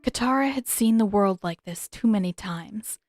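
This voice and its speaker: A woman's voice: mid-range voice, moderate pace, a little mellow, faint music behind. A woman reading scripted fiction as an audiobook narrator.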